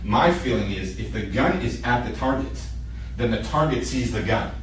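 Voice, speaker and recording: A man's voice: medium pitch, lively intonation, moderate pace. A man from Israel, a native Hebrew speaker, lecturing a class as a military shooting instructor, emphatic and repeating key points in short sentences. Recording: echoey room, distant mic, background noise.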